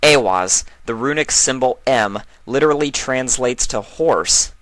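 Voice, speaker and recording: A man voicing an eager, curious young female pony foal, earnest and excitable, in a story reading. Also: mid-range voice, a little slow, no echo, pitched above the performer's own voice. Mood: eager, explaining.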